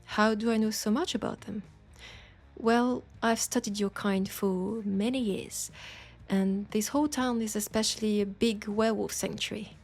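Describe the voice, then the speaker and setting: Woman playing a creaky-voiced French-accented witch, teasing and nurturing. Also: mid-range voice, steady tone, normal speed, faint music behind, lightly acted. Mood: fond, teasing. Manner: playful, coaxing, chatty. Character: teasing, nurturing female witch, self-styled werewolf tamer. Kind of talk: roleplay audio acting, addressed to listener.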